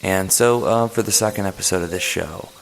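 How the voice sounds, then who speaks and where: A man hosts a comedy radio play in a deadpan, self-important ramble full of fillers. His voice sits fairly low. A long hall reverb lingers after his lines. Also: a little fast, gravelly, a little hiss or hum. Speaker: podcast host.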